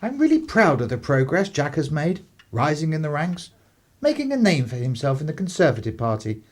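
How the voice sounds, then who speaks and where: Actor as a pompous English clergyman father, expressive. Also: on the high side, a little fast. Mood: pleased. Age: middle-aged. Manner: formal, disapproving. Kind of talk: radio play acting.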